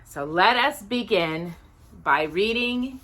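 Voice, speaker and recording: A woman reading a children's book aloud in an expressive, deliberate way with drawn-out emphasis. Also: mid-range voice. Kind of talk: expressive read-aloud children's story narration.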